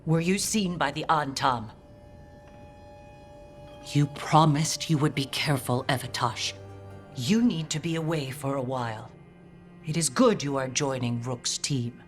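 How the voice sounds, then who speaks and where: A protective mother in a fantasy game. She speaks formally and sternly in measured phrases, over faint music. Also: very deep voice.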